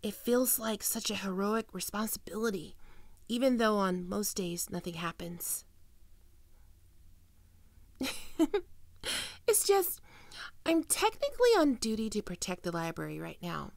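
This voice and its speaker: A young woman playing a high-energy, teasing fox-woman librarian in an expressive roleplay performance addressed to the listener. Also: unhurried, gravelly, pauses often, no echo. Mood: earnest.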